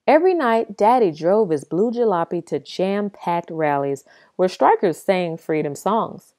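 A woman reading a children's picture book aloud as expressive storybook narration, with dramatic pauses. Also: fairly low pitch, no echo, clean studio sound.

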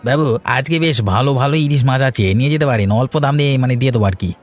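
A man acts out a persistent fishmonger in a cartoon. He rattles off very fast sales patter, pushy yet deferential. A faint market crowd murmurs behind him. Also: medium pitch, natural voice. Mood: hawking, wheedling. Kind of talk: cartoon fairy-tale voice acting.

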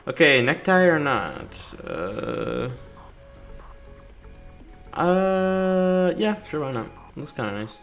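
A man's voice: a little high, very animated, extremely slow, vocal fry, pauses often, a bit bright. A man doing casual, playful gameplay commentary, chattering in slang and talking to the game's characters. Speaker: gaming YouTuber doing a playthrough. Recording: light game music behind. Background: video game music.

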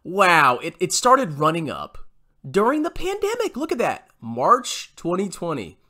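A man's voice: high voice, wildly swooping pitch, unhurried, bright, forceful tone. A man giving blunt, exasperated, sarcastic reaction commentary in a small room at home. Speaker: YouTube host reacting to a video.

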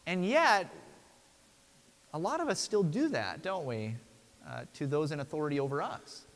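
A man's voice: on the high side, big pitch swings, moderate pace, fairly bright tone, big-room sound. A man in his thirties preaching a conversational sermon from a pulpit in a church sanctuary, often checking in with "right?". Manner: conversational, frequent tag "right?". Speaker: pastor.

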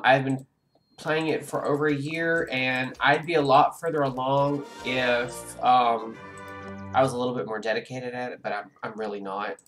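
A man in his forties, congested, chatting casually on a live stream while playing a game, rambling with many ums and restarts. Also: medium pitch. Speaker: gaming streamer. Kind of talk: casual live-stream chat over gameplay. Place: home room with a webcam.

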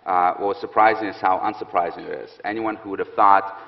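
A man in his thirties, a security expert on a stage panel, explaining in a quick, assured way. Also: sits low, fairly even intonation, creaky. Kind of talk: panel interview answer. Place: stage set with audience, indoors. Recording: muffled hall with long reverb.

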